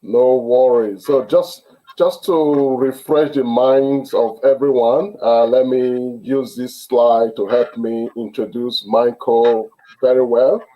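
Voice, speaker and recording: Slow, mellow-voiced male host. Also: mid-range voice, steady tone, rough recording. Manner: halting, many fillers and restarts. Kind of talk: online interview. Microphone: video call.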